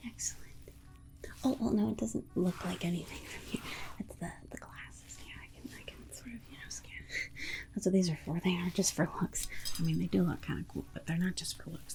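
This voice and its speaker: A woman playing a polite, condescending alien scientist in an ASMR roleplay, whispering in a soothing, coaxing manner with breathy delivery. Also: fairly low pitch. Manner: soothing, coaxing, hesitant asides. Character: alien scientist, polite, reassuring, breezily condescending toward humans.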